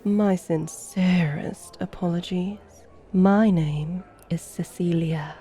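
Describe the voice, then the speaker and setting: A woman's voice: on the low side, steady tone, slow pace, dark tone, soft background music. A woman playing a seductive, mischievous vampire in an ASMR roleplay, speaking in a flirtatious, languid, formal way.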